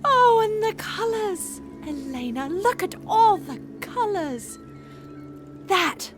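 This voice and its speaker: A woman's voice: very high-pitched, big pitch swings, slow, full character voice. A woman acting a free-spirited, dreamy young sailor in a Regency-era audio drama, poetic and full of wonder. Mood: excited wonder. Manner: wonder-filled, poetic, effusive. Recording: music bed behind the voice.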